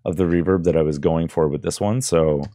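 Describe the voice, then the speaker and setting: A man's voice: extremely low pitch, fairly quick, warm tone. A man in his thirties presenting an audio tutorial in an informal, loosely explanatory way, close on a desk microphone. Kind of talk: casual screen-recorded tutorial. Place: indoors at a computer desk. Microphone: close boom-arm microphone with headphones.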